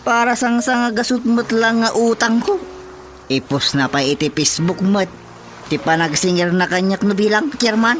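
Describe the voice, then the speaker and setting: A man playing a flustered, indignant village councilor in an Ilocano radio comedy, excitable and exclamatory, with an Ilocano accent. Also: sits high, normal speed, a little hiss or hum.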